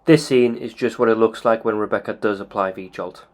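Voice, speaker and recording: A man's voice: on the low side, steady tone, moderate pace, darkish tone, faint music behind. Man explaining game walkthrough steps. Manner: methodical, plain explanation. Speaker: video game commentator.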